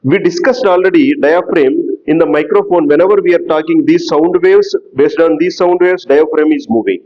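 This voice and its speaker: A man giving a lecture in a slow, explanatory way that repeats key terms. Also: fairly high pitch, muffled recording. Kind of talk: classroom lecture.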